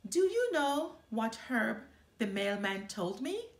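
A woman's voice: fairly high pitch, sing-song, takes it slowly, exaggerated voice. A woman voicing a chatty grown father bear who loves gossip, in a storybook read-aloud. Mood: eager. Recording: roomy indoor echo.

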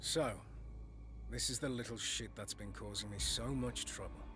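A man's voice: fairly low pitch, normal speed, close to an everyday voice. A man playing a menacing middle-aged gang boss in a video game, contemptuous and commanding. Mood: sneering. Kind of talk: video game cutscene acting. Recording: music behind the voice.

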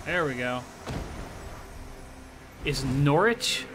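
A man's voice: mid-range voice, expressive, drawn-out words. A man streaming a video game live, chatting loosely with his viewers, swearing and talking back at the game. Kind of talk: live gameplay commentary. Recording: clear game noise behind.

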